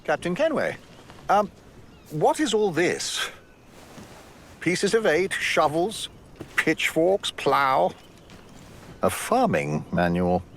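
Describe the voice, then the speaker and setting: An actor playing a polite, earnest settler in a game cutscene, courteous and questioning in manner. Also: male voice, a little high, huge pitch swings, slow pace, pauses often, slight background noise, heavily acted. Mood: puzzled. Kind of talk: dramatic game cutscene acting.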